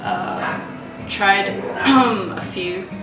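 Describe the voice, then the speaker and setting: A woman's voice: medium pitch, drawn-out words, over loud music. A young woman making a casual cooking vlog in her home kitchen, talking hesitantly and trailing off, recorded from across the room. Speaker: vlogger. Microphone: camera mic from across the room. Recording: roomy kitchen audio, distant mic.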